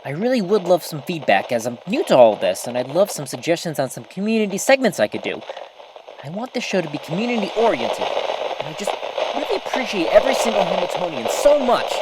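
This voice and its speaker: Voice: medium pitch, brisk, heavy background noise, close to an everyday voice. A performer playing an earnest, eager novice host of a small-town community radio show, chatty and folksy, talking straight to the listeners in an audio drama. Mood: earnest, flustered. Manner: folksy, chatty, expressive, addresses listeners directly. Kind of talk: scripted audio drama as local radio broadcast.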